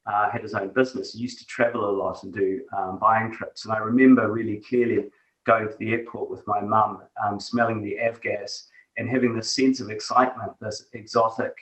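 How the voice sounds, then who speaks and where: A man's voice: fairly low pitch, steady tone, normal speed, a bit bright. A middle-aged man with a New Zealand accent, reflecting as an interview guest, with many fillers and restarts. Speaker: guest, tech executive. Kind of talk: conversational interview. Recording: echoey room, distant from mic.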